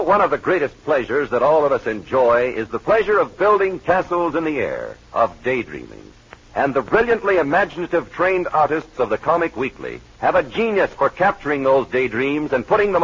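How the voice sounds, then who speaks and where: Vintage male announcer, sweeping emphatic intonation, scripted narration, husky tone, muffled old broadcast sound. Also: fairly high pitch, fairly bright tone. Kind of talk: 1940s radio scripted narration and sponsor read.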